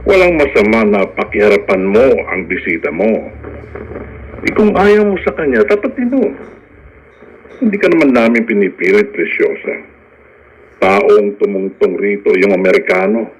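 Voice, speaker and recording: A man's voice: fairly low pitch, big pitch swings, moderate pace, pauses often, muffled recording, slight background noise. A man playing a stern, insistent father of a grown daughter in a radio drama, admonishing her.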